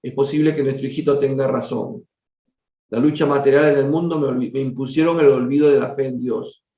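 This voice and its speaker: A man's voice: medium pitch, steady tone, a little fast, warm tone, recorded from a distance, natural voice. A man reading the part of a troubled, weary father in a spiritual storybook, earnest and formal. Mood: wistful. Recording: dull, roomy lo-fi recording.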